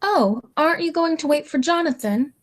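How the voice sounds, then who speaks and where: A woman's voice: high-pitched, expressive, normal speed. A young woman playing a kindly, prim elderly aunt in a radio play, motherly and fussy. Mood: eager.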